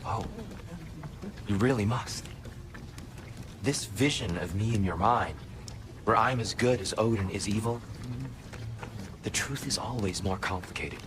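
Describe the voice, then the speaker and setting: A man playing a gentle, humble, weary god in a video game, a former prisoner who speaks with courteous, apologetic care. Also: fairly low pitch, measured pace, long pauses, noisy background, natural voice. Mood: apologetic, reflective. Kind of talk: video game character acting.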